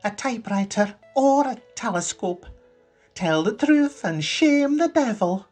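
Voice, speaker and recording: Guy doing a scolding glaswegian mum, really high pitched, swoopy and scornful, storybook style. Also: animated, unhurried, darkish tone, faint music behind. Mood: accusing, snapping. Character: stern Glaswegian mother, silver-haired, interrogating and scornful.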